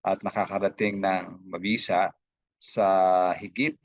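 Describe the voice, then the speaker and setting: A Filipino man giving unscripted opening remarks as a host, halting often with hesitations and broken-off words. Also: deep voice, flat delivery, no echo. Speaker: host, institute director.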